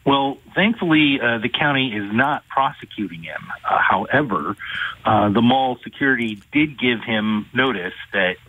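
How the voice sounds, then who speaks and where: A man, an attorney, calling in to an interview and recounting a case in a measured way. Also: mid-range voice, expressive. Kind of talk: phone-in interview. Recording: tinny phone line.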